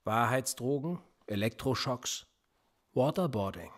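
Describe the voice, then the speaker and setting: A sardonic police interrogator in a radio drama, husky and cool. His pitch swings widely as he mocks and threatens by turns. He sounds calm but menacing. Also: a little slow. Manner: calm, mocking, turning menacing. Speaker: police officer. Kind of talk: radio play acting.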